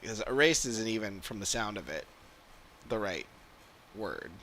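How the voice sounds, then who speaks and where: A man's voice: on the low side, expressive, a little slow, bright, forceful tone, a little hiss or hum. A man doing casual livestream commentary as he plays a game, musing and rambling as he thinks aloud. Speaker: game streamer.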